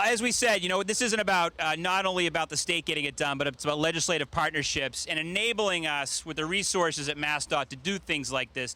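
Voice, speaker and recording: A man with a fairly high, bright voice hosts an outdoor public ceremony. He introduces speakers in a loose, folksy, joking way, as a government official speaking off the cuff. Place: outdoor public event in rain.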